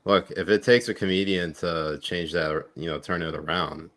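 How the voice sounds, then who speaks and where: A man with a very low voice chats about politics on a podcast. He rambles and circles back, piling on filler words as he works toward his point. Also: steady tone, normal speed, a bit bright. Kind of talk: casual political chat.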